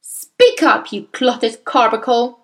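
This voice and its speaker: A woman's voice: on the high side, expressive, slow pace, a bit bright, full character voice. A woman voicing a huge, furious, bullying headmistress in a story, roaring accusations and insults. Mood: accusing rage.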